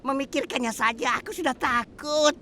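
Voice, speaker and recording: Dubbed cartoon: an anxious townswoman, bright, high and swooping. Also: moderate pace. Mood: worried. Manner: anxious, fretful.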